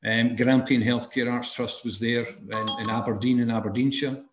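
A Scottish man in his sixties speaks at an ordinary pitch for a man. He talks thoughtfully over a video call and often pauses with ums and restarts. His voice carries the echo of the room he sits in. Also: fairly even intonation, over music. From Scotland. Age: 60s. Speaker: interviewee, arts and health practitioner. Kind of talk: oral history interview.

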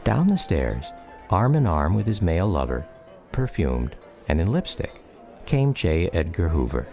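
A man narrating a personal radio drama in a reflective, measured storytelling style. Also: low-pitched, big pitch swings, gravelly, mellow. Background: music.

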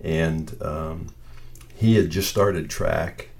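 An American man of about seventy, casually reminiscing in a halting, meandering way as he searches for details. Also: a bass voice, steady tone, vocal fry. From northeastern Ohio, United States. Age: around 70.

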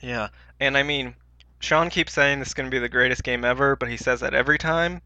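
A man's voice: medium pitch, fairly even intonation, fairly quick, pushed and bright, no room sound. A man co-hosting a podcast in casual, informal chat with slangy wording. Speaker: podcast co-host.

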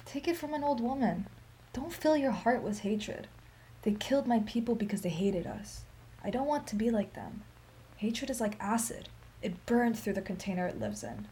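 An actress playing a sorrowful, dignified old Armenian woman in a radio play, telling her story in a solemn, measured, emphatic way. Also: a little high, sing-song, warm tone, a little hiss or hum, rough recording. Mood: resolute, forgiving.

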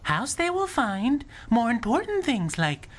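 Mystical fantasy enchantress, acted by a woman: calm, cryptic, unhurried, fairly high voice, inverted phrasing. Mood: soothing, cryptic. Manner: slow, soothing, odd inverted phrasing. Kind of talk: acted audio drama.

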